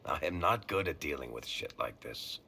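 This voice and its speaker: A man's voice: extremely low pitch, lively intonation, moderate pace, creaky, sharp tone, slight background noise, full character voice. A man playing a wry, world-weary dwarf storyteller who talks in a colloquial, teasing way in a video game. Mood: regretful, subdued. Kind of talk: video game cutscene acting.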